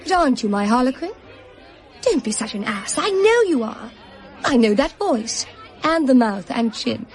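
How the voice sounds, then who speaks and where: An actress playing a flippant, thrill-seeking bright young society woman in a radio drama, gushing in upper-class English slang. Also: a little high, lively intonation, moderate pace, soft background music, lightly acted. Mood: teasing. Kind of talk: British radio drama acting.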